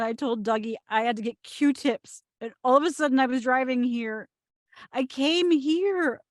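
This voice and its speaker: A woman's voice: high-pitched, no room sound, clean studio sound. A woman reading the part of an anxious, sentimental bride in a screenplay table read, plaintive and wry. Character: Lillian, anxious sentimental bride dreading change.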